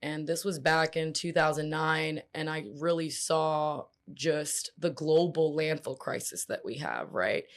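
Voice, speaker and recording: A woman's voice: low-pitched, a little slow, hardly any pauses. A woman from Puerto Rico and Central Florida, a company founder, talking in an enthusiastic, casual way on a podcast. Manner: enthusiastic, casual, laughs easily, says "right?" and "dude". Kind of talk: casual interview chat.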